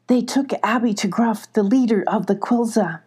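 An older woman voicing a caring, anxious young female cat in a children's story, earnest and hushed. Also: on the high side, normal speed, husky. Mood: secretive.

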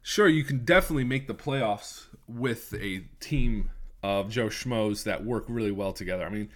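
A man's voice: fairly low pitch, moderate pace. A young man with a stuffy cold voice, hosting a casual sports podcast, rambling and opinionated with lots of restarts. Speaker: podcast host.

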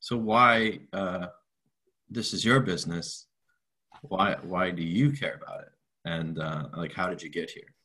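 A man hosting a casual interview, asking his questions hesitantly and reaching for words as he goes. His voice is very low and noticeably husky. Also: steady tone, a little slow, pushed and bright. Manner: hesitant, searching for words with fillers. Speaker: interviewer, podcast host.

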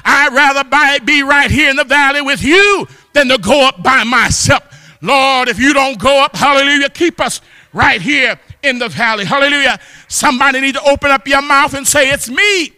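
A man preaching a fervent church sermon, exhorting with repeated exclamations of praise and often rising into shouts. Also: extremely high pitch, normal speed, clean studio sound. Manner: impassioned, often breaking into shouts. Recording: long hall reverb.

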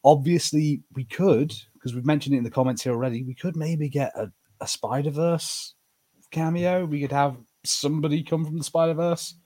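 A man's voice: on the high side, moderate pace, mellow. A man co-hosting a podcast in casual, bantering conversation about pop culture. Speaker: podcast host.

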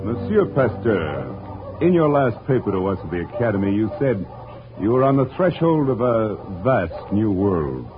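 A stately male academician questions formally in a low, very inflected voice. It is a radio drama on a tinny recording. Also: very animated, moderate pace. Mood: probing. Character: formal, probing academy inquirer. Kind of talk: old-time radio drama acting. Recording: tinny old radio recording, loud music.

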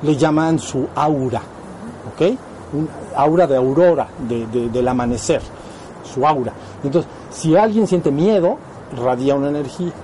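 A man's voice: fairly high pitch, animated, measured pace, mellow, slight background noise, low-quality recording. A man with a Mexican accent giving an informal spiritual lesson, explaining things and often checking that his students follow. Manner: explanatory, often checks understanding with short questions. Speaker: spiritual teacher.

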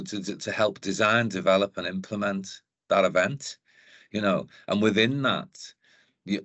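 A man's voice: fairly low pitch, fairly bright tone, dry recording. A middle-aged man from Liverpool with a Liverpool accent, chatting as an interview guest, rambling with many restarts and "you know". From Liverpool, England. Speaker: guest, council events advisor. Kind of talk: casual interview chat.

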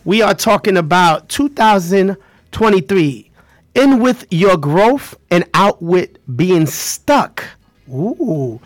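High-pitched male radio host, effusive. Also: sing-song, slow, dry recording, studio quality. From Haitian background. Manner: effusive, repeats phrases. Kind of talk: casual live radio hosting.

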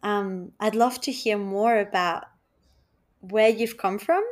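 A woman with a medium-pitched voice hosts a reflective podcast. She talks casually and thoughtfully, feeling her way through short, halting phrases that sometimes trail off. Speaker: podcast host.

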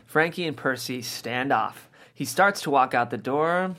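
A man with a medium-pitched voice reads stage directions at a table read. It is scripted narration, moving through scene headings and descriptions of the action. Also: measured pace, pushed and bright.

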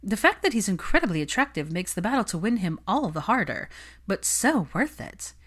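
A woman voicing a young, smug society gossip and husband-hunter in an audiobook, with an affected, bright, confiding manner. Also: mid-range voice, very animated, a little fast, pitched above the performer's own voice. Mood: smug, confident.

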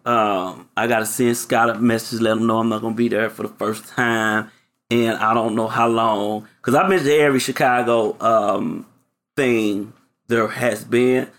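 A man's voice: lowish voice, a bit bright. A man co-hosting a podcast in a laid-back, playful way, chatting casually. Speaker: podcast co-host.

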